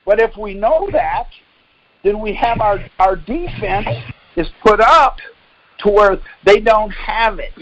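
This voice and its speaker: High-pitched man, insistent and corrective. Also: lively intonation, slow pace, fairly bright tone, muffled recording. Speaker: call leader and instructor. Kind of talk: explaining and debating on a conference call.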